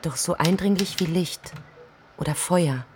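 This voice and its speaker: Actress as literary woman narrator, deep pitch, measured prose reading, radio play, clicking typewriter noise. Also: close to an everyday voice. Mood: absorbed, intent. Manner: measured, descriptive. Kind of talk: novel reading in radio drama. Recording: studio with typewriter clatter behind.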